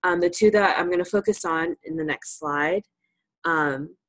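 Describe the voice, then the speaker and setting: A woman's voice: on the low side, moderate pace, a bit bright. A woman presenting an informal, interactive lesson to a class, encouraging and affirming as she teaches. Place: live virtual presentation.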